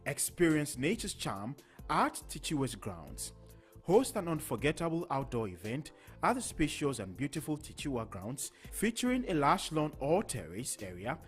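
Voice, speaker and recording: Lively male ad announcer over music. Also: fairly high pitch, normal speed. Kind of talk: scripted advertisement voice-over.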